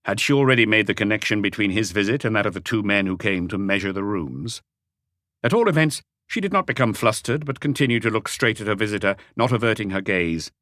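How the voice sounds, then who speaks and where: A man's voice: fairly low pitch, expressive, brisk, a bit bright, dry recording, clean studio sound. A man reading scripted story narration as an audiobook narrator.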